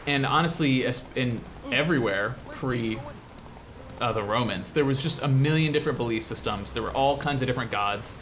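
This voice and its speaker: A man's voice: medium pitch, fairly quick, fairly bright tone. American man, casual commentary over noisy background. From US Midwest. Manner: rambling, explanatory, enthusiastic. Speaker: show host, 3D artist. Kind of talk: casual game commentary while playing.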